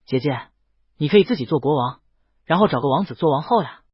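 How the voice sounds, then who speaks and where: A man voicing a gentle, earnest young male dragon in an audiobook, polite and eager to reassure. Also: a little high, animated, fast talker, husky, no room sound, lo-fi sound. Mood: encouraging. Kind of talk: audiobook character dialogue.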